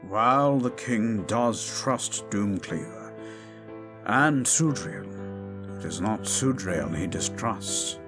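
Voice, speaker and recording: A man's voice: on the low side, expressive, music in the background. A man playing a learned, cautious royal chancellor, speaking formally and precisely in a fantasy audio drama. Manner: formal, deliberate, precise wording.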